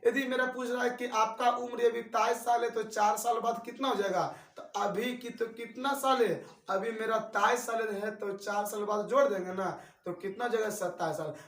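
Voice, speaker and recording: High-voiced young male math teacher. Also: steady tone, normal speed, fairly bright tone, echoey room, far from the mic. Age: 20s. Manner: repeats each step and asks students questions. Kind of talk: classroom-style lesson.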